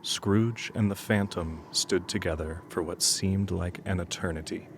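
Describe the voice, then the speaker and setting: A man's voice: sits low, fairly even intonation, a little slow, slight background noise. A man narrating an audio drama as a grave, solemn storyteller with an ominous manner.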